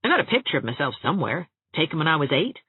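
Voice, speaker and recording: A woman's voice: low-pitched, sing-song, racing, slightly rough, dry recording, phone-quality sound, heavily acted. A woman voicing a cheerful, teasing, self-mocking young man in a storybook reading. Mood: wry, chatty.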